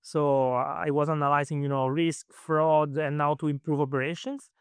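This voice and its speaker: A man with a clear Italian accent talks through his story in a relaxed interview. His voice sits a little high and sounds smooth and resonant. He often pauses with fillers and restarts his sentences, recorded close with no room sound. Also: dry recording. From Italy. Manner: hesitant, many fillers and restarts. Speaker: guest. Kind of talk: casual interview answers.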